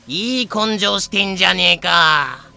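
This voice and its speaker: An actor in a fan audio drama playing a brash pirate captain with rough, swaggering speech. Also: medium pitch, unhurried, pushed and bright, faint music behind, heavily acted. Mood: menacing. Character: brash male pirate captain, menacing thug turned chummy.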